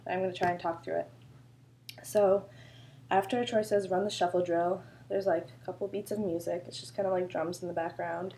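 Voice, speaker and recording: A woman's voice: lowish voice, flat delivery, moderate pace, warm tone, a little hiss or hum. A woman choreographer with a sore throat, explaining a dance routine in a chatty, loose way full of fillers. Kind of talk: casual dance instruction video.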